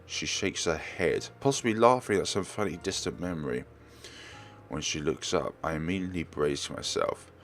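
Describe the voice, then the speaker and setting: A man's voice: sits low, moderate pace, faint music behind. A man doing a let's play, reading a visual novel aloud and stumbling over words before correcting himself. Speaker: let's player.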